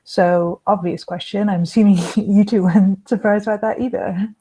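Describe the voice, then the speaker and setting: A woman hosting a live-streamed panel discussion, introducing guests and moderating in a relaxed way over a video call. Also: mid-range voice, normal speed, slightly rough. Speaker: panel host.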